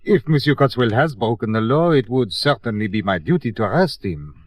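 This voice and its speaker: A man's voice: medium pitch, animated, dry recording, natural voice. A man playing a wary, philosophical Haitian police inspector with a French accent, speaking formally and measuredly in an old-time radio drama. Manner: formal, measured, veiled warnings. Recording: dull vintage radio recording.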